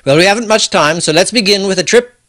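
A man with a husky voice giving scripted storytelling narration to introduce a classic tale, theatrical with deliberate pauses. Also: high voice, a bit bright. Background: faint music.

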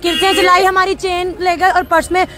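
A young woman speaks agitatedly in a very high voice as a news interviewee. She is outdoors at a handheld microphone with a crowd clearly around her. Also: level tone, moderate pace, noisy background. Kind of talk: agitated account to a news reporter. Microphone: handheld reporter microphone.

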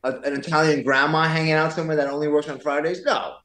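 A man's voice: fairly high pitch, flat delivery, fast talker, a bit bright, reverberant. A man in his forties telling stories casually as a podcast guest, playful, joking and prone to digress. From born in Taiwan. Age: 40s. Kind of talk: casual conversational storytelling. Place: indoors in a pool house.

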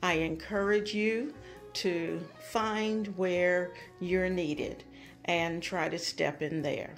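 A woman's voice: fairly low pitch, fairly even intonation, slow pace, faint music behind. An older woman hosting a home decor vlog, talking casually and encouragingly as she demonstrates.

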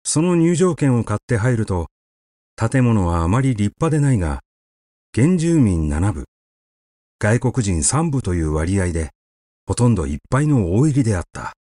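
A man reading a literary short story aloud as an audiobook narrator, with measured, expressive storytelling. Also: fairly low pitch, no echo.

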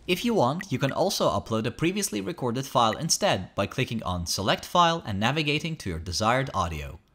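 Man narrating a tutorial with lively intonation. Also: mid-range voice, brisk, slightly rough. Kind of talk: scripted promotional tutorial narration.

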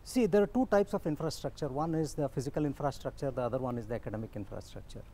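A man's voice: medium pitch, fast talker, dark tone, slight background noise. A man in his fifties, an institute director, explaining things confidently in an interview outdoors on a lapel mic. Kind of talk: interview answers. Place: outdoors on campus stairs.

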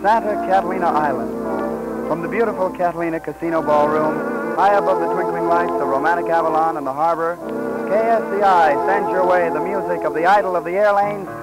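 A man's voice: on the high side, brisk, over loud music, rough recording. An American man announcing a ballroom band broadcast in 1940s radio style.

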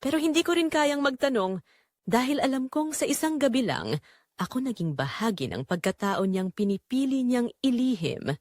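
A woman narrating an audio drama in the first person as a wistful young man, in an earnest, measured, dramatic storytelling manner. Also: fairly high pitch, animated, darkish tone, no echo, clean studio sound, natural voice. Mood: wistful, intimate. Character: wistful young man recalling a secret romance.

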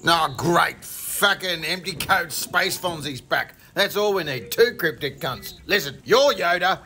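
A man's voice: on the high side, animated, a little slow, sharp tone, soft background music, heavily acted. A man voicing a cranky, sweary droid. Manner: constant swearing, sarcastic ranting voice-over. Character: grumpy foul-mouthed male droid, fed up and complaining. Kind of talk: comedic dub voice-over.

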